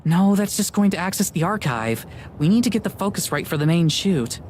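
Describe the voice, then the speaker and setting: A woman's voice: fairly low pitch, animated, a little fast, a little mellow, slight background noise, natural voice. A woman playing a young, salty-tongued paranormal show host in a visual novel, hiding heartbreak under casual patter. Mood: casual, reassuring. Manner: casual, salty, performed cheer. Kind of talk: visual novel character acting. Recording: music behind the voice.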